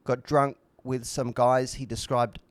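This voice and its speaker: A man's voice: medium pitch, level tone, unhurried, dry recording. A man co-hosting a podcast in casual, rambling conversation, blunt and opinionated, with frequent swearing. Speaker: podcast co-host.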